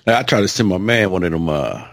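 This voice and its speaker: Deep gravelly laid-back male co-host. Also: expressive, fast talker, no room sound, clean studio sound. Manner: laid-back slangy banter. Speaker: podcast co-host. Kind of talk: casual chat.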